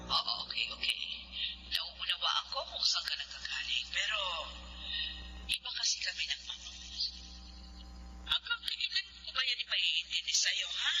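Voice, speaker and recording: Exasperated Filipino mother, lively swooping voice. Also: medium pitch, moderate pace, long pauses, heavily acted. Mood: exasperated, pleading. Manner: scolding, pleading, mixes in English. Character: busy, exasperated middle-aged mother, defensive. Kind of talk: Tagalog radio drama acting. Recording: noisy, humming telephone-style radio drama.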